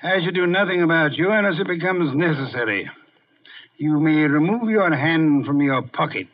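Casting: imperious old detective genius, pompous and grumbling, higher-pitched male voice, dull vintage radio sound. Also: lively intonation, normal speed. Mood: haughty, dry. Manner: pompous, formal, curt, grumbling. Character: imperious, irritable, housebound genius detective, heavyset older man. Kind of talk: 1950s radio detective acting.

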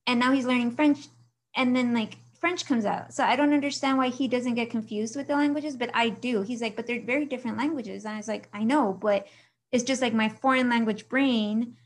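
A chatty woman from Mexico, chatting as an interview guest over a remote call. Her voice sits a little high, and she laughs often and lets her sentences trail off. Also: fairly even intonation, fairly quick. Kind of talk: casual interview chat.

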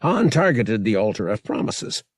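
A man reading an adventure novel as an audiobook narrator, in a measured, deliberate and dramatic storytelling style. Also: fairly low pitch, very animated, slightly rough, no echo.

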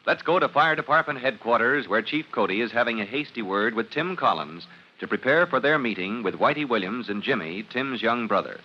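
A man's voice: mid-range voice, lively intonation, a little fast. A man with an American accent narrating as a rousing, declamatory announcer of an old-time radio serial. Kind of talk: dramatic 1940s-style radio serial narration. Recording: noisy, muffled vintage radio recording.

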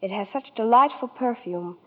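A woman's voice: fairly high pitch, moderate pace. Young woman, reverberant vintage radio. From New York, USA. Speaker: guest starlet giving endorsement. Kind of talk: scripted 1940s radio interview and ad. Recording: echoey tinny 1940s radio recording.

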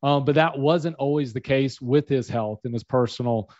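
A man's voice: medium pitch, normal speed, a little mellow, dry recording. Rambling male host on a video call. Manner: rambling questions full of fillers. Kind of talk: casual interview over a video call. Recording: dull lo-fi video call audio.

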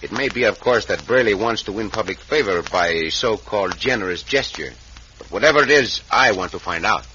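An actor playing a suave, wary Mexican adventurer in a radio western, speaking formally with a Mexican accent. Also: male voice, fairly low pitch, animated, fairly quick, close to an everyday voice. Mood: suspicious. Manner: formal, courtly phrasing. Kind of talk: old-time radio western acting. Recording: muffled, noisy vintage radio recording.

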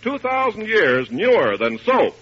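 A vintage radio announcer, a man with a high voice and sweeping delivery. He reads scripted copy with polished, declamatory salesmanship over music. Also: huge pitch swings, unhurried, fairly bright tone. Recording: dull vintage radio broadcast, music behind.

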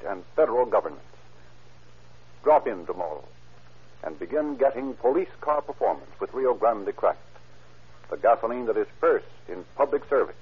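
A man's voice: lowish voice, slightly rough. An American man announcing and reading scripted ads on 1930s radio in a formal, polished salesman's delivery. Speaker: announcer and narrator. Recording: muffled old radio broadcast.

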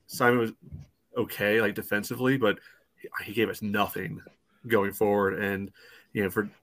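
A man giving casual soccer analysis as a podcast panelist, phrasing things haltingly with frequent filler phrases. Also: on the low side, level tone, a bit bright. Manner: hesitant phrasing peppered with "you know".

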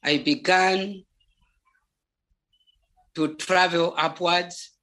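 Slow, deliberate testimony in a Zambian accent. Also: mid-range voice, steady tone, bright, forceful tone. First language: Bemba. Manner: halting, deliberate, repeats words for emphasis. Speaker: religious testimony speaker. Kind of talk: spoken personal testimony.

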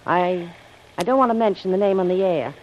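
An actress playing a plucky, earnest young heroine in old radio drama style. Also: female voice, on the low side, brisk, slight background noise, natural voice. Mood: urgent, concerned. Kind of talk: 1940s radio acting. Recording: dull old radio recording.

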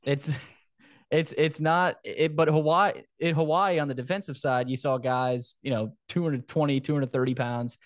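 A man hosting a sports podcast, talking casually through a football preview in a halting way, repeating words and phrases. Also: on the high side, steady tone, no echo, clean studio sound.

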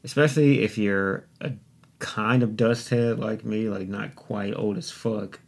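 A young man with a somewhat low voice hosts his own podcast alone, speaking into a desktop microphone at home. He rambles freely, swears often and pokes fun at himself in a loose, casual way. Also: steady tone, unhurried, gravelly. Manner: rambling, profane, self-deprecating. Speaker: podcast host. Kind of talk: casual solo podcast monologue. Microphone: desktop USB microphone.

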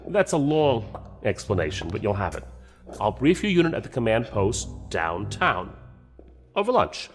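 An actor playing a composed counterterrorism agent in a science fiction audio drama, answering tersely with deadpan humor. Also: male voice, lowish voice, moderate pace, faint music behind.

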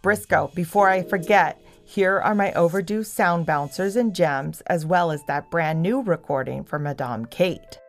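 A woman voicing a good-natured, eager male dog president in a children's story, warm and exclamatory. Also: fairly low pitch, a little slow, few pauses, faint music behind. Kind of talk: children's storybook character reading.

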